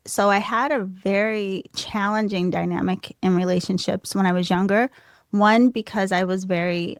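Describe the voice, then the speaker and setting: A soft-voiced woman from the United States, telling candid stories as a podcast guest, laughing often and poking fun at herself. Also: medium pitch, dry recording, clean studio sound. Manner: laughs often, animated and self-deprecating. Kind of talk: candid conversational storytelling.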